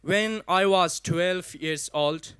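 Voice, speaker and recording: A young man with an Afghan accent giving a personal speech at a community event, halting and deliberate, in short non-native English phrases. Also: high voice, a bit bright, smooth, no room sound, clean studio sound. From Afghanistan, raised partly in Pakistan. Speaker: guest speaker at a community event. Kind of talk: public speech, personal testimony.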